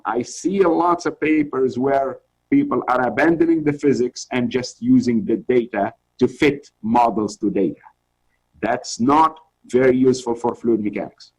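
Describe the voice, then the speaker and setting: A man's voice: mid-range voice, breathy edge, poor audio. A middle-aged man from Tunisia, a journal editor, giving an earnest, encouraging webinar talk with many restarts over a video call. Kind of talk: informal lecture.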